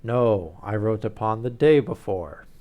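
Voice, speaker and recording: A man voicing a stately, red-bearded middle-aged duke in a loud, deep, booming voice. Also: lively intonation, measured pace. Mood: stiff, haughty.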